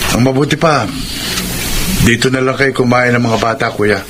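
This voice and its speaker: An actor playing a supportive, easygoing husband and brother-in-law in a radio drama. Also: male voice, medium pitch, normal speed, background noise, lightly acted. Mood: encouraging.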